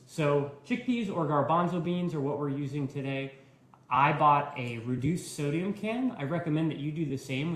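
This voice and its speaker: A young man in his twenties giving a relaxed, step-by-step live cooking demonstration in a home kitchen, filmed by a camera at a distance. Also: a little high, roomy sound. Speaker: cooking instructor.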